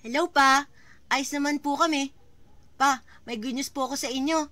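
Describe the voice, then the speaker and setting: A woman acting a sweet, high-voiced child. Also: steady tone, normal speed, sharp tone, dry recording. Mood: cheerful, sweet. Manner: sweet, respectful. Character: cheerful, polite young child chatting with father. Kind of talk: amateur Filipino radio drama acting.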